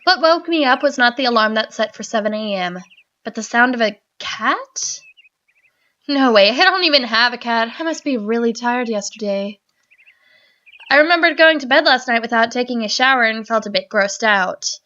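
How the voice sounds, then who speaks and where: Bright-voiced woman reading game text. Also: fairly high pitch, lively intonation, normal speed, lo-fi sound. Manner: dramatic reading, sarcastic quips. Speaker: let's play host. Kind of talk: reading game text aloud with wry asides.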